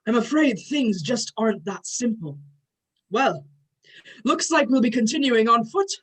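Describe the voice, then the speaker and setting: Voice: mid-range voice, lively intonation, measured pace, fairly bright tone, husky, rough recording. An amateur actor playing a grand, short-tempered male thunder god with a formal, pompous manner in a myth play reading. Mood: lecturing.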